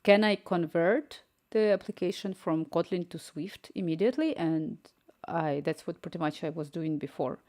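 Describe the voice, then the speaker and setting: Young woman, Ukrainian accent, slightly low voice, casual live-coding streamer thinking aloud and chatting with viewers. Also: normal speed, few pauses, darkish tone. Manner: chatty, thinks aloud, reads chat messages and dictates prompts.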